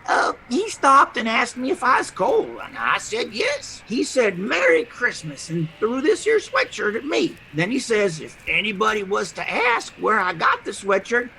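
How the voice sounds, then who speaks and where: An actor playing a wily, good-hearted homeless character in an audio drama, with a gravelly voice and a folksy, playful, ungrammatical way of talking. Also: mid-range voice, bright, forceful tone, a little hiss or hum, poor audio. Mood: mock confessing. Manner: folksy, playful, ungrammatical drawl with mangled words.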